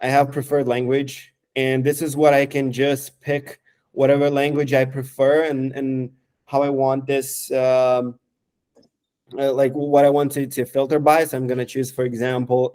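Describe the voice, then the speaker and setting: Mellow-voiced man demoing software. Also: mid-range voice, monotone, smooth. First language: Romanian. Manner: halting, lots of fillers and restarts. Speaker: software developer. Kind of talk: casual screen-share product demo.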